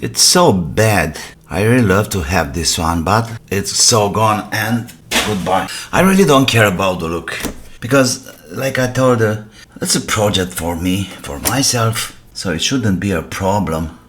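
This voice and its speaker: A man, an English speaker, thinking aloud in a casual, halting way as he hosts a hands-on repair vlog, muttering asides to himself. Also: lowish voice, expressive. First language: not English. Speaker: host of an electronics repair channel. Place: indoor workbench. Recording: noisy workbench with clicks and clatter.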